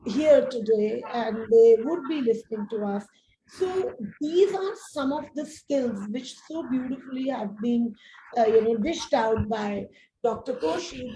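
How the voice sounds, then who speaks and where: A woman moderating a panel discussion in a wordy, halting, conversational way. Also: high-pitched, warm tone. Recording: echoey room, faint background noise.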